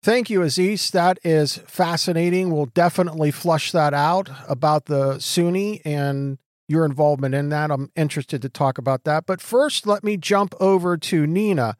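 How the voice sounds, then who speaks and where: Male podcast host, fairly high voice, dry close sound with faint music behind. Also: moderate pace, few pauses, dry recording. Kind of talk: interview host.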